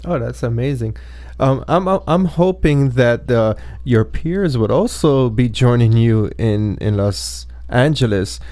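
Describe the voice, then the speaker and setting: A man hosting a podcast interview, speaking in formal wording with a halting delivery. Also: mid-range voice, dark tone, no echo.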